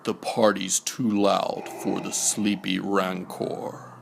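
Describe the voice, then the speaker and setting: A man narrating a bedtime storybook aloud in a hushed, measured, rhyming delivery. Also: low voice, flat delivery, vocal fry, noisy background.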